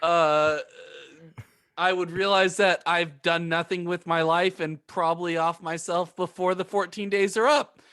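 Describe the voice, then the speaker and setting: A man's voice: high voice, fairly even intonation, unhurried, fairly bright tone, smooth. A man co-hosting a podcast with casual, joking banter. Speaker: podcast co-host.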